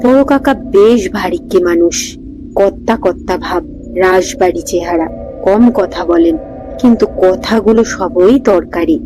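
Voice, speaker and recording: A woman host narrating a ghost story in a steady, unhurried reading voice. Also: lowish voice, low-quality recording. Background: background music.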